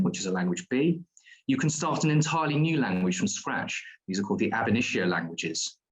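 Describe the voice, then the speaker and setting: A man's voice: on the low side, poor audio. A man presenting a school's diploma courses at an online open day, explaining at length with frequent ums and restarts.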